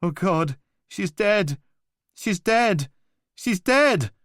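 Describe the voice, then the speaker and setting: A man's voice: high voice, normal speed, dry recording, clean studio sound, voice pushed up. A man voicing a terrified young woman on a recorded emergency call, panicked and pleading.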